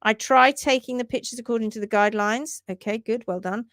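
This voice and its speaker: Rapid-fire chatty woman hosting a livestream. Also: fairly high pitch, fairly bright tone, dry recording, studio quality. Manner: laughs often. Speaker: livestream host, hoof care teacher. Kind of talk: casual live-stream teaching and reading viewer posts. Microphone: livestream setup.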